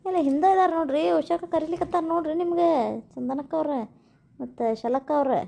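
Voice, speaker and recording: A woman's voice: high voice, fairly quick, creaky, warm tone, pitched below the performer's own voice. A woman voicing an obliging, business-minded village shopkeeper in an amateur cartoon, folksy and respectful, with a North Karnataka accent.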